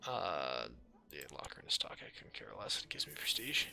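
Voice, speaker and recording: A man's voice: rumbling low, big pitch swings, normal speed, creaky, bright, forceful tone. A man giving casual gameplay commentary in a dry, offhand way, musing aloud in a slightly scratchy voice. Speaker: gaming video host. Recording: music under the voice.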